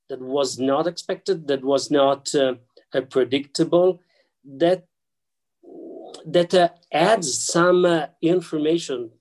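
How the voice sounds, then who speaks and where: A man speaking English with an Italian accent explains ideas slowly and carefully. He hesitates constantly, filling gaps with sounds and restarting half-finished phrases. His pace stays unhurried throughout. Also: a little high, a little mellow, low-quality recording. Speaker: guest scholar. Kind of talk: explaining physics and theology in an interview.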